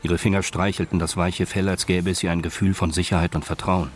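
A man with a low, deep voice narrates a radio crime drama. His delivery is scripted, literary storytelling. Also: flat delivery, brisk, a little hiss or hum.

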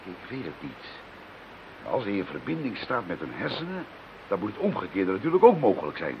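Radio drama: thoughtful male ally, low creaky voice with big melodic swings, dull hissy recording. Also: sing-song, brisk. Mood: thoughtful, earnest. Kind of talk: Dutch radio play acting.

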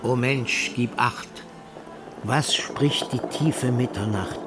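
A man reciting literary texts in a measured, declamatory and solemn way. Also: mid-range voice, loud music behind the voice.

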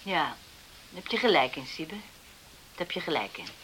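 Widowed farm mother, middle-aged, slightly low pitch, bright tense tone, worried, confiding, radio drama. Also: lively intonation, normal speed, a little hiss or hum, low-quality recording. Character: worried widowed farm mother dreaming of settled married sons. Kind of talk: Dutch radio drama acting.

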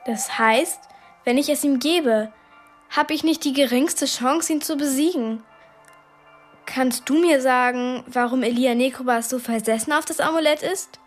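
A woman's voice: high voice, unhurried, faint music behind. An actress playing a plucky, sarcastic twelve-year-old girl in a radio drama, both in dialogue and narrating. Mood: urgent. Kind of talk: radio drama acting and first-person narration.

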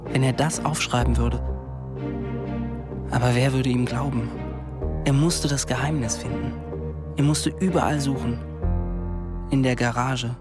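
A man's voice: mid-range voice, fairly even intonation, unhurried, pauses often, over music, close to an everyday voice. An actor playing an earnest, inquisitive young man in a radio drama, delivering terse short phrases. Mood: intent, narrating. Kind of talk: radio drama narration and dialogue.

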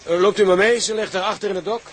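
A man's voice: high-pitched, fairly even intonation, quick pace, a little hiss or hum, close to an everyday voice. A male actor playing an eager, deferential tugboat crewman in a drama, obliging and full of florid patter.

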